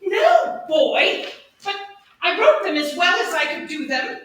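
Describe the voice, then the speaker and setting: A woman playing an earnest, lovesick young gentleman in a Shakespearean comedy, with halting, flustered delivery. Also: very high voice, big pitch swings, full character voice. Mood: eager. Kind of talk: Shakespearean comedy staged reading. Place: large echoey indoor room, staged reading. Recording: echoey hall from far off, faint noise.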